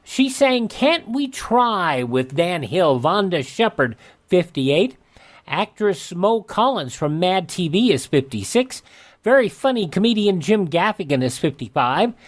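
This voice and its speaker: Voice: medium pitch, sing-song, unhurried. A radio host reading scripted segments in a folksy way, dropping in personal asides.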